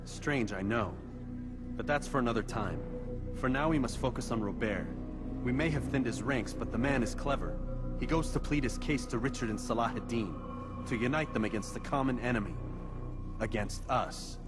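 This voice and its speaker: A stern young assassin played by a man in a game cutscene. His medium-pitched voice is clipped, serious and determined, set against background music. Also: normal speed, lightly acted. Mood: grave, urgent. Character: resolute, terse young assassin, stern and stubborn. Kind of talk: dramatic video game cutscene acting.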